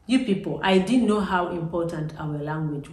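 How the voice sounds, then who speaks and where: Echoey, low-voiced Ugandan woman vlogger. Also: moderate pace, darkish tone. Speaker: vlogger, host. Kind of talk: personal vlog, reflective talk to viewers. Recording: echoey room, distant microphone.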